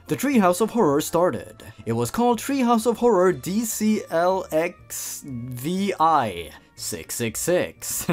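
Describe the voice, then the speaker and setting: A man's voice: high voice, very animated, slow pace, faint music behind. A man hosting a comedic story reading, theatrical and amused in his reactions. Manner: theatrical, amused, chuckles between lines. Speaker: host of a creepypasta reading channel.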